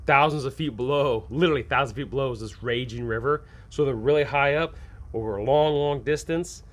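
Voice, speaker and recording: A man hosting a tabletop game advice show, talking casually and with enthusiasm, slipping into improvised scene descriptions in colloquial, folksy phrasing. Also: mid-range voice. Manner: enthusiastic, animated when acting out examples.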